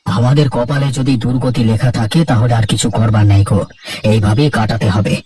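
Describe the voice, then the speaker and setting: A man's voice: mid-range voice, a little fast, dark tone, close to an everyday voice. A man plays an aging cartoon farmer, a resigned and caring father. He speaks earnestly and sadly, slightly distant from the mic with music underneath. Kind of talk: cartoon dialogue acting.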